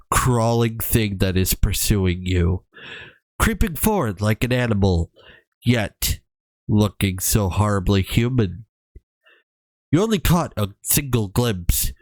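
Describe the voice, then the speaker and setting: Male streamer, unhurried, close dry sound, informal campfire-style horror reading with self-corrections and joking asides. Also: on the low side, dry recording. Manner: stumbles over text and corrects himself, wry joking asides. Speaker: streamer, VTuber host. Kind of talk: casual reading of a horror story aloud with asides.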